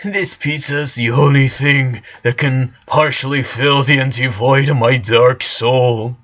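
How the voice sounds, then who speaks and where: A man voicing a gloomy little goth girl with a deadpan, morose delivery, in a story reading. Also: mid-range voice, unhurried, close to an everyday voice. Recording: dull lo-fi sound.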